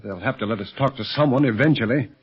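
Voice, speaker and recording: An authoritative American agent in charge, short on patience and dryly sarcastic. His medium-pitched voice is rough-edged, and it comes through a muffled 1930s radio drama recording. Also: fast. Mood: concerned. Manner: exasperated, authoritative, sardonic. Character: stern, irritable adult secret police leader. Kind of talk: 1930s radio serial acting.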